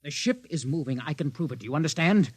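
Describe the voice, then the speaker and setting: A man's voice: a little high, animated, fast, a little mellow, breathy edge. An actor playing a determined young man branded a heretic aboard a ship, urgently pleading in a 1950s radio drama.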